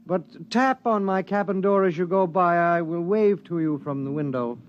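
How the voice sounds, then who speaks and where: Actor as a fussy, high-voiced, pompous gentleman. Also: moderate pace, dull audio, music in the background, natural voice. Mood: languid, disdainful. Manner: precise, formal, languidly pompous. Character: fussy, indolent, learned gentleman, disdainful of the outdoors. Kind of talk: old-time radio drama acting.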